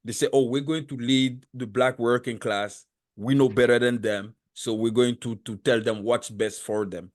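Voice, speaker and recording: Man, podcast host, non-native English, mid pitch, earnest, argumentative, restarts sentences. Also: steady tone, moderate pace. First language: not English. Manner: earnest, argumentative, building points step by step; frequent restarts and repeated words. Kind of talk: casual interview chat.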